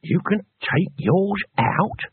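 A man's voice: a little high, expressive, unhurried, gravelly, husky, dry recording, pitched above the performer's own voice, exaggerated voice. A man voicing a grumpy, sarcastic old barbarian hero with a toothless mumble, in an audiobook story. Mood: awed, gleeful. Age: older. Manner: grumbling, sarcastic, colloquial. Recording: narrow phone-like radio band.

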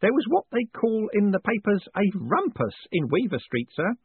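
A man's voice: high-pitched, huge pitch swings, normal speed, husky, no echo, voice pushed up, full character voice. A man voicing an old male postal worker who is deferential, hesitant and gossipy, saying sir at every turn. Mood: confiding. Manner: folksy, hesitant, says sir constantly. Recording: tinny phone-like sound, dry.

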